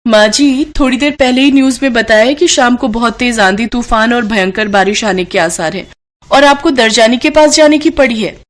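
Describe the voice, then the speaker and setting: A woman voicing a young Indian housewife and mother in a cartoon story, caring but harried, with a brisk, nagging manner. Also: a little high, lightly acted. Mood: firm. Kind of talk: Hindi cartoon moral story acting.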